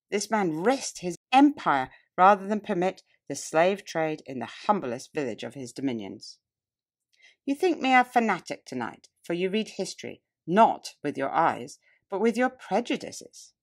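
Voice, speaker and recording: A woman narrating a nonfiction audiobook as scripted reading, in a deliberate, emphatic, oratorical way. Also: lowish voice. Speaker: audiobook reader. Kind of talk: scripted narration of a public-speaking textbook.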